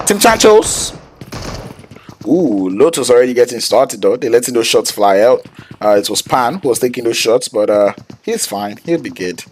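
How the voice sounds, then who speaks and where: A man, a Yoruba speaker, calling an esports match play-by-play in an excitable, slangy way. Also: medium pitch, slight background noise. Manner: excitable, slangy, jokes with chat. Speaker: esports caster.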